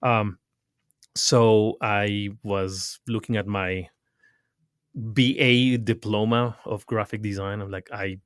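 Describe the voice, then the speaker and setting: A middle-aged man from Ecuador, a guest in a studio interview, telling his story casually in quick bursts. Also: on the low side, steady tone, clear, resonant tone, dry recording. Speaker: guest, retail consultant. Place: recording studio.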